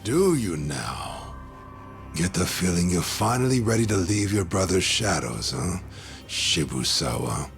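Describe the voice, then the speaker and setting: Deep, gravelly, needling yakuza officer. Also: male voice, big pitch swings, measured pace, faint music behind, full character voice. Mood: taunting. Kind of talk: video game English dub acting.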